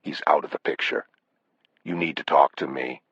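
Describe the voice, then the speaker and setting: A man voicing a cold, calmly controlled killer with a measured, menacing delivery. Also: a bass voice, husky, dry recording, lo-fi sound, heavily acted. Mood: calm menace.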